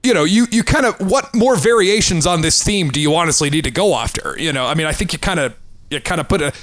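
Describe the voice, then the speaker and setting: A man hosting a casual film discussion, talking haltingly and repeating phrases for emphasis. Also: a little high, expressive, fairly bright tone. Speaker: podcast host.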